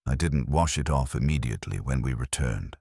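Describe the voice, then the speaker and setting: A man narrating a dark science fiction horror story in the first person, in a grave, measured storytelling manner. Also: a bass voice, lively intonation, slightly rough, no echo, clean studio sound.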